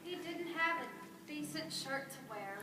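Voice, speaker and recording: A woman's voice: sits high, lively intonation, measured pace, pushed and bright. A woman narrating a scripted storybook tale in amateur reader's theater. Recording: echoey room, distant microphone, background noise.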